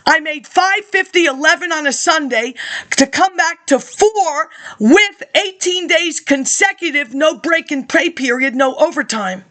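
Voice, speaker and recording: A woman's voice: sits high, a little slow, bright, forceful tone. A middle-aged woman rambling through a personal monologue, indignant and laughing often as she jumps from topic to topic.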